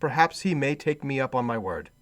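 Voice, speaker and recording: A man's voice: mid-range voice, expressive, a little fast, natural voice. A man playing a cheerful, forgiving nephew in a radio play, speaking in a hearty, earnest way. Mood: earnest, pitying. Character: Scrooge's cheerful, forgiving, good-humored nephew.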